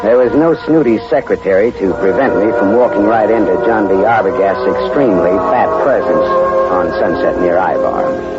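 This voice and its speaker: Guy playing tough wry detective in a vintage radio show, low voice, dull old recording. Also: moderate pace, over loud music, lightly acted. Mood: grim, deadpan. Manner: wisecracking, laconic, hard-boiled. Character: wry, tough male private eye, hard-boiled. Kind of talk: old radio detective drama acting and narration.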